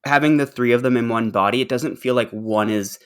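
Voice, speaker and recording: Mid-pitched man chatting enthusiastically. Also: clear, resonant tone. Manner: enthusiastic, halting with repeated words. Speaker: podcast host. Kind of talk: casual chatty discussion.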